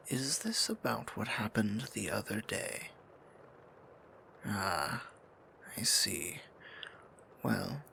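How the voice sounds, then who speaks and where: A man playing a tender, possessive, courtly dark sorcerer in an intimate fantasy ASMR roleplay, speaking formally in a breathy, gravelly near-whisper. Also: fairly low pitch, slight background noise, exaggerated voice. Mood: reassuring. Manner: formal, archaic endearments, slow deliberate phrasing.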